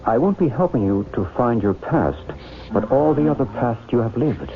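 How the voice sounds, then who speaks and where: An actor playing a calm psychic healer and hypnotist, measured, probing and authoritative, in old-time radio drama style. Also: male voice, medium pitch, crowd noise, close to an everyday voice. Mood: calm, assured. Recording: tinny, band-limited old radio recording.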